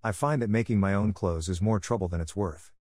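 A man's voice: lowish voice, fairly quick, a little mellow, dry recording, studio quality. A man reading scripted example sentences aloud as prompts for a language test.

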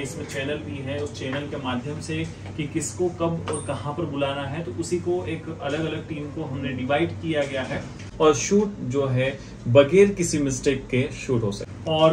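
A man in his thirties with an Indian accent, giving a casual unboxing and review in a halting, repetitive explaining manner. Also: a little high. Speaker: video host reviewing products. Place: small indoor office. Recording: distant mic, clearly noisy room ambience.

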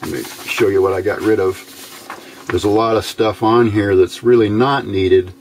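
A man giving an informal, unscripted hands-on tutorial in a plain-spoken, halting way, recorded indoors at a workbench on a camera mic. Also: on the low side, steady tone, a little mellow, a little hiss or hum, rough recording. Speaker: host of a hands-on electronics tutorial.

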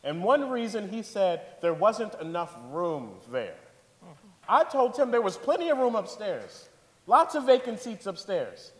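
A man's voice: high-pitched, very animated, unhurried. A man playing an earnest father giving testimony in a staged 1890s courtroom drama reading. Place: large theater stage, indoors. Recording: hall reverb from a theater stage.

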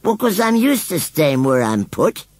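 A man voicing a shy, wheezy male scarecrow who speaks slowly and mournfully. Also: medium pitch, sing-song, breathy edge, no echo, pitched above the performer's own voice. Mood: forlorn.

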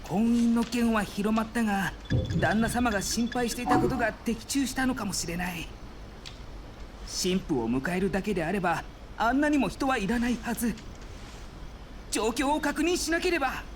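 A woman's voice: a little high, animated, extremely slow, noisy background. A woman voicing a worried, loyal household retainer in an anime game. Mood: worried, musing.

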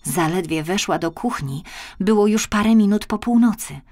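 A woman narrating a children's fairy tale as an expressive audiobook storyteller. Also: medium pitch, moderate pace.

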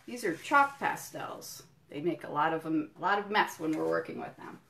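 A woman doing a children's storytime, reading a picture book in deliberate phrases and explaining things simply to young listeners. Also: sits low, animated, creaky, a bit bright, a little hiss or hum. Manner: addresses young listeners directly with questions and encouragement. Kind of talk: children's storytime read-aloud with friendly asides.

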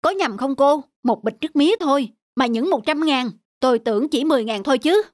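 A man's voice: very high voice, expressive, fairly quick, no echo. A man voicing a mild, decent, plain-spoken young traveller in a cartoon. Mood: taken aback. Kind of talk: cartoon dubbing. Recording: music behind the voice.

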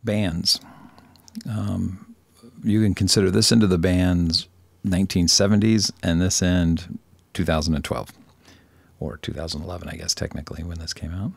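A man with a very deep voice gives an informal software tutorial. There is plenty of gravelly fry at the edges, and the sound is dry. He wanders slightly and corrects himself with dry humour. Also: steady tone, moderate pace, no room sound. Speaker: tutorial presenter.

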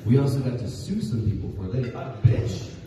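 Man, forties, teasing panel quips, far from the mic, loud audience, noisy. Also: mid-range voice, very animated. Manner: teasing, quick quips, laughs along. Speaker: panel guest, podcast co-host. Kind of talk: casual panel banter. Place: large convention hall stage. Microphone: handheld mic, filmed from the audience. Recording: distant echoey hall, loud crowd.

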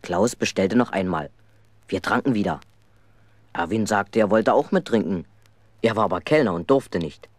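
Deep, dry-miked male storyteller. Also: animated, moderate pace, long pauses, dry recording. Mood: recounting. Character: schoolboy Alfons as storyteller, mischievous and aggrieved. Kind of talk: radio play narration.

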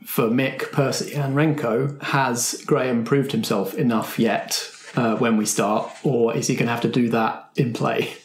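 A man with a mid-pitched voice runs a tabletop game discussion. He guides the group in a casual, conversational way, recorded in an echoey room. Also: steady tone, unhurried, few pauses. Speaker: host and game master.

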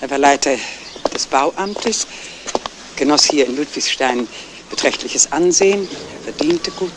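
Stern middle-aged sister, clipped formal replies, defensive, retro crime radio drama, clearly noisy recording. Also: female voice, low-pitched, lively intonation, a little slow. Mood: guarded. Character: stern, guarded sister of a dead man, former nurse. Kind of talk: 1970s German crime radio play acting.

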